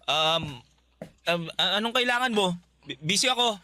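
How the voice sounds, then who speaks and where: A man's voice: high-pitched, animated, moderate pace, pushed and bright, no echo, clean studio sound, exaggerated voice. A male actor with a Filipino accent playing a resentful, guarded younger brother in his twenties in a radio drama, curt and defensive.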